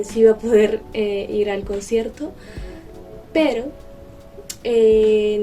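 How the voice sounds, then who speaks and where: A woman's voice: medium pitch, mellow. A woman vlogger chatting casually to camera, explaining things in a conversational way. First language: Spanish. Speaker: vlogger, host. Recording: distant mic with music behind.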